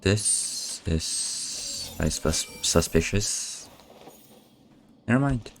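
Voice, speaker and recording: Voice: deep voice, lively intonation, very slow, creaky. A woman doing casual live game commentary as a streamer, muttering offhand, skeptical reactions.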